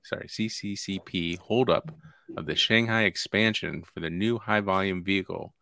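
A man co-hosting a casual chat, relaxed and agreeable, reading listener questions. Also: sits low, normal speed, creaky, dry recording, clean studio sound. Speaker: podcast co-host.

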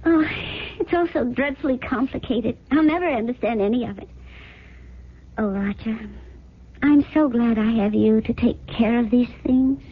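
An actress playing a naive, trusting, genteel wife with a hesitant, fretful manner in a 1970s radio drama. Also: female voice, fairly high pitch, moderate pace, breathy edge. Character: naive, trusting, anxious well-bred wife. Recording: muffled phone-like old radio recording.

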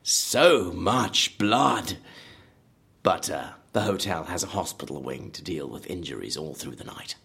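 An actor playing a brash theme park designer, husky and animated, relishing gruesome details in an audio drama. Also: male voice, sits low, measured pace, full character voice. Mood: excited showmanship. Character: brash, morbidly enthusiastic male designer of a dangerous theme park.

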